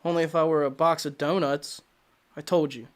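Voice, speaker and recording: An actor playing a defiant, wisecracking captive agent with a dry, sardonic manner in an audio drama. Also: male voice, fairly high pitch, steady tone, normal speed, clear, resonant tone, lightly acted. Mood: dry, evasive.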